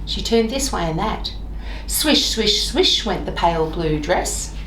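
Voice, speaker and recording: A woman reading a children's storybook aloud in an expressive, deliberate way, with sing-song repeated phrases. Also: lowish voice, recorded from a distance. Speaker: library staff member reading stories. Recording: roomy echo, off-mic, faint noise.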